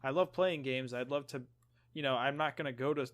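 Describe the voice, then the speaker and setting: A man's voice: mid-range voice, fairly quick. A man co-hosting a gaming podcast, chatting casually with a joking, teasing manner. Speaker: podcast co-host.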